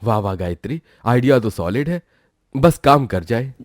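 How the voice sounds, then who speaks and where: A man's voice: mid-range voice, expressive, normal speed, no echo, studio quality, close to an everyday voice. A man voicing an earnest village roadside-eatery owner and husband in a cartoon. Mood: concerned. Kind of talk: cartoon voice acting.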